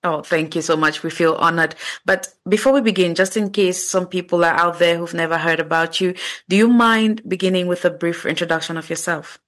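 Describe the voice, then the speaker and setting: A woman's voice: lowish voice, fairly even intonation, brisk. Effusive woman hosting a podcast. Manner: warm, effusive welcomes. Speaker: podcast host. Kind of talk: podcast interview.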